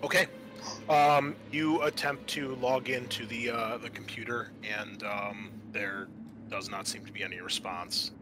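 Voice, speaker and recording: A man speaking haltingly as game master, narrating scenes and explaining rules in a tabletop roleplaying game. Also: on the low side, steady tone. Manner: hesitant, halting, thinking aloud. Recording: clear background noise, amateur podcast.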